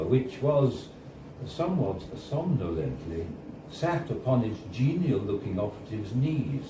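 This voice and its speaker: A man reads a first-person story aloud at a live event in a bar, in a measured storytelling manner with deliberate pauses. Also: mid-range voice, mellow. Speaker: reader of a short story. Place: indoors at a bar, live reading. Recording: roomy, distant, noisy live bar recording. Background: clear background noise and room ambience.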